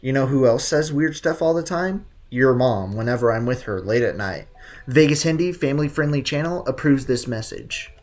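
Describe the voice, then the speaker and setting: A man's voice: mid-range voice, animated, normal speed, a little mellow. Male livestream host, casual gaming commentary, blunt teasing banter, music in the background. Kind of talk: casual game streaming commentary, reading chat.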